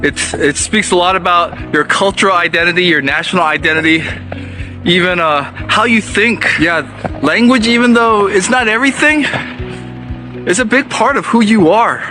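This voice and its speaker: A man's voice: sits high, normal speed, over music, low-quality recording. A middle-aged man with an American accent, born in Korea and raised in America, hosting a walking vlog and speaking in a reflective, personal, conversational way. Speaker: vlog host. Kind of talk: walking travel vlog narration. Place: outdoors on city streets.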